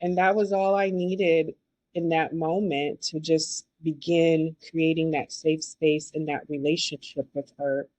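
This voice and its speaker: A woman hosting a podcast, listening warmly and affirming her guest often. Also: low-pitched, little pitch movement, measured pace, no echo, rough recording. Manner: affirming, enthusiastic listener, many yeses. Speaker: podcast host. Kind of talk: casual interview conversation.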